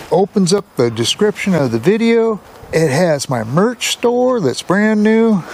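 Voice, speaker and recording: A man's voice: high voice, gravelly, slight background noise. Folksy American man telling stories. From United States, Seattle area. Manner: folksy, colloquial, rambling. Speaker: storyteller, YouTube channel host. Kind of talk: casual personal storytelling.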